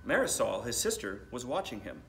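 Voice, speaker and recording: An American man, a school principal in his thirties, reading a storybook aloud to children with deliberate phrasing and addressing them directly. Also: mid-range voice, very animated, fairly bright tone. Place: school library, indoors.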